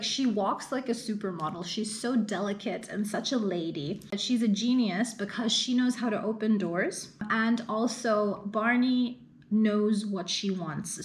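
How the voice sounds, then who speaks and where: Woman vlogger, slightly high voice, earnest chatty talk with fillers, from the Emirates. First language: English. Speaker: content creator. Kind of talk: casual Q&A vlog talk.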